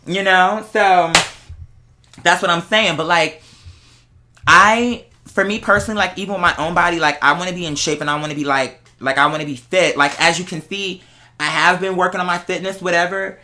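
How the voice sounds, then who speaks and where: A man's voice: high voice, fairly even intonation, normal speed, sharp tone. A young American man giving a casual, rambling monologue in a sassy, campy manner, peppered with slang. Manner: sassy, campy slang, often addressing listener as girl. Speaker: online show host.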